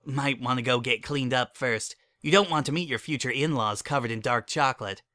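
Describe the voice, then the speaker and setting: A man's voice: mid-range voice, brisk, bright, forceful tone, no room sound, studio quality, lightly acted. A man voicing a patient, affectionate female cartoon unicorn who explains things reassuringly. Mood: tender, reassuring.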